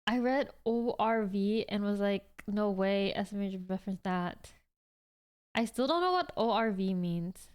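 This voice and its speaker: A woman's voice: medium pitch, unhurried, a little mellow, clear, resonant tone. A woman in her twenties hosting a livestream, chatting casually with viewers in a rambling way full of "like". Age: 20s. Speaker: livestream host.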